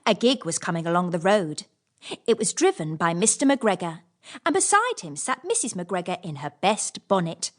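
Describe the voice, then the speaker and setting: A woman narrating a children's audiobook as scripted storytelling, in an expressive manner. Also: on the low side, normal speed.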